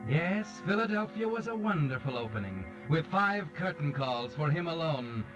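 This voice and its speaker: An actor playing a knowing, sardonic mystery narrator, measured and dramatic, in 1940s radio style. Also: male voice, a little high, sing-song. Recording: dull vintage radio, music underneath. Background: dramatic music.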